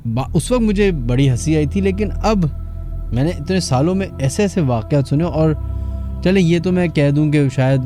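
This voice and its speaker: Male late-night radio host, Pakistani accent, mellow and relaxed, musing conversational storyteller over background music. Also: fairly high pitch, moderate pace.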